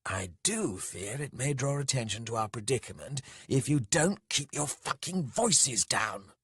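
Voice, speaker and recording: A man voicing a dry, biting, sardonic male rogue in a fantasy audiobook, often hushed. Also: medium pitch, takes it slowly, husky, dry recording, pitched above the performer's own voice, exaggerated voice.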